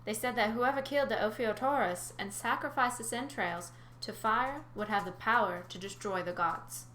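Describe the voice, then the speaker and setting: A woman's voice: on the high side, normal speed, a bit bright, close to an everyday voice. A woman voicing a solemn, ancient huntress from a storybook, with archaic wording. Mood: solemn, ominous. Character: grave ancient young huntress telling old lore. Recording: roomy echo, faint background noise.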